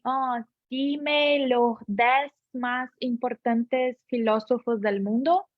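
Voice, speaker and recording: A woman on a panel, a Portuguese native speaking Spanish haltingly and slipping in English words. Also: a little high, dry recording. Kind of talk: panel discussion remarks. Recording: dull, dry, lo-fi remote audio.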